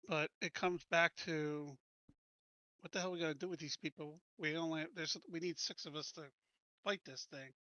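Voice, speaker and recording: A man with a bright, forward voice, pitched a little high, plays a tabletop roleplaying game. He casually talks through plans with the group. The sound is dry and slightly muffled. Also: a little slow, pauses often, dry recording. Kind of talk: casual in-game discussion and planning.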